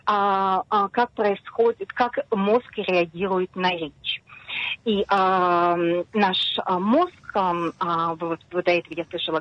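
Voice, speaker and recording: A middle-aged woman scientist explaining her research patiently on a radio interview, often pausing on hesitations. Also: lowish voice, no echo. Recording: dull dry radio studio sound.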